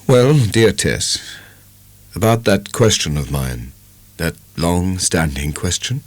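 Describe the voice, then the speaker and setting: A man plays a tender young gentleman courting his beloved in an audiobook drama. The voice is low and very lively in pitch, coaxing and gently insistent, in a dry, close recording. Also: slow, dry recording, heavily acted. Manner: coaxing, affectionate.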